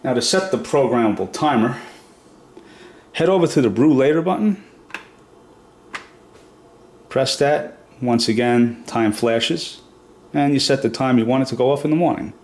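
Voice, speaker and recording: A man's voice: mid-range voice, moderate pace, long pauses, a little mellow. A man with a cold, sounding nasal and stuffed up, explaining a product step by step in a casual home demo. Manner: plain step-by-step explaining with fillers. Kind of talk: casual how-to demonstration. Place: reverberant room at home.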